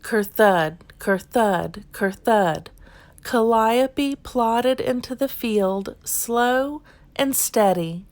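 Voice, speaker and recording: A woman reading a children's storybook aloud in an expressive, deliberate storytelling manner. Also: on the high side. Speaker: children's book reader. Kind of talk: expressive scripted storybook narration.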